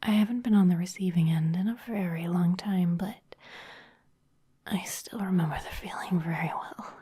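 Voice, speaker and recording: Woman acting as female vampire office boss, ASMR roleplay, low mellow whisper, teasing, commanding, seductive. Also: moderate pace. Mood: coaxing, seductive. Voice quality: breathy, mostly whispered.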